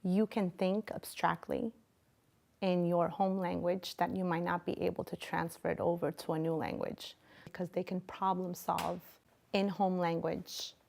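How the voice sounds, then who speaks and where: Woman teacher, slightly low pitch, encouraging, checks in with the class. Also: little pitch movement, unhurried, vocal fry, darkish tone. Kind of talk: classroom teaching and interview explanation.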